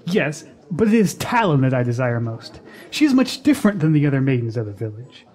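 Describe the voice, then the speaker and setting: A man's voice: mid-range voice, sing-song, brisk, darkish tone, close to an everyday voice. A man playing a boastful, lovesick young would-be warrior in a fantasy radio drama, emphatic and eager. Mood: frustrated.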